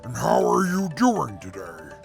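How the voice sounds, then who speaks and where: A man playing a stiff, logical intellectual who speaks formally and deadpan in a post-apocalyptic audio drama. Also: medium pitch, unhurried, gravelly, faint music behind, heavily acted. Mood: formal, measured. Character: Atlas, stiff logical field interviewer, amoral intellectual.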